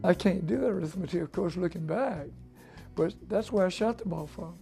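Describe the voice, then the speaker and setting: A man's voice: high-pitched, brisk, vocal fry, warm tone, soft background music. A man in his eighties from the southern United States, casually reminiscing as an interviewee. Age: 80s. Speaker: interviewee, former college basketball player.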